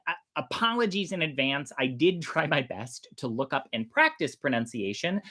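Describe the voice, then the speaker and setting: Androgynous sounding storyteller host, casual and really animated, pitch goes all over, big on emphasis.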